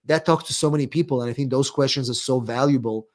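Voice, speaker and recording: A middle-aged man with an Israeli accent answers viewers' questions on a live stream. He speaks in a relaxed, conversational way, often pausing with fillers and restarting sentences. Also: mid-range voice. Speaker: immigration attorney, live stream host.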